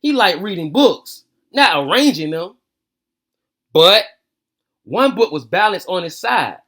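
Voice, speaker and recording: High-pitched American man reading to kids. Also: sing-song, a little slow, fairly bright tone, echoey room, far from the mic. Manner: informal slangy talk. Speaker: children's bedtime story host and read-aloud reader. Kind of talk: casual storytelling and chat aimed at kids.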